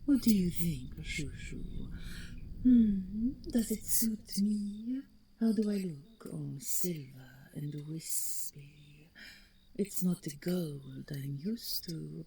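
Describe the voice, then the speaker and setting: A vain, spectral fallen queen in an audio roleplay, speaks in a low, breathy whisper. She draws out her words extremely slowly, mocking and theatrical, with a creaky edge to her menace. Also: dark tone. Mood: preening, teasing. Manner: taunting, theatrical, drawn-out delivery. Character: ghost of a deposed monster queen, vain, mocking, vengeful. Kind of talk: audio roleplay monologue acting. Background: ambient sound effects, wind.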